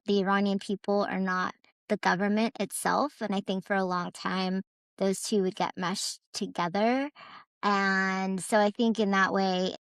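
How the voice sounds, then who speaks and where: A woman's voice: mid-range voice, dry recording. A young adult woman, born in Iran and raised in the US, talking earnestly as a podcast guest, with many fillers and restarts. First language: Farsi. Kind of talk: casual conversation.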